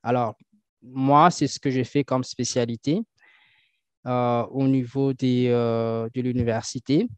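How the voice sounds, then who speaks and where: A man answering interview questions and explaining things, often pausing with fillers and restarting his sentences. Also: mid-range voice, level tone, clear, resonant tone, dry recording. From French-speaking country. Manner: hesitant, many fillers and restarts. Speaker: guest. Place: Toronto. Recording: dull lo-fi dry sound.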